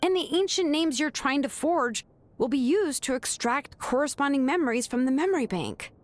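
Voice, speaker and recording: An actress playing a sharp, laid-back young woman who calmly reasons through a scheme in a video game. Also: high-pitched, moderate pace. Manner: calm, knowing, casually reasoning. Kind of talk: video game dub acting. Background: game music.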